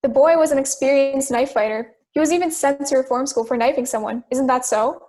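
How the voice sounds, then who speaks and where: A woman's voice: high voice, brisk, darkish tone, natural voice. A woman playing a persistent, logical juror with a pointed, questioning manner in an amateur audio drama. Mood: pressing. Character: persistent, logical juror who challenges the others.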